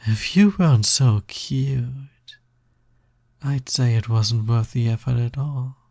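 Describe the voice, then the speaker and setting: Slow, breathy, smug snake demon played by a man. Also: mid-range voice, no echo, clean studio sound. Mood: smug, coaxing. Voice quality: breathy, soft, slightly gravelly. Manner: drawling, coaxing, teasing. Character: teasing, smug, touch-starved male naga snake-demon roommate. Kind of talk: slow, intimate audio roleplay, ASMR-like.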